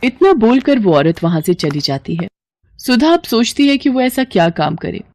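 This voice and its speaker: A woman's voice: on the high side, very animated, normal speed, darkish tone. A woman narrating a scripted moral story for children as a storyteller.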